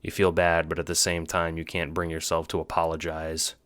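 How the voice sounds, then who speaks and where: A man's voice: low-pitched, monotone, normal speed, fairly bright tone, no echo, clean studio sound. A man giving scripted narration for an audio book styled as a drama. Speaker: narrator, writer and producer.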